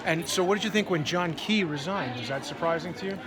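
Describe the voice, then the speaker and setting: A man's voice: on the high side, brisk. A man doing street interviews for an online channel asks pointed, leading questions. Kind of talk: vox-pop interview. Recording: loud crowd around.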